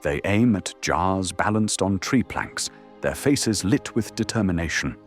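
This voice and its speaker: A man's voice: low voice, animated, measured pace. A man reading dramatic scripted narration for an anime audio drama. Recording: music under the narration.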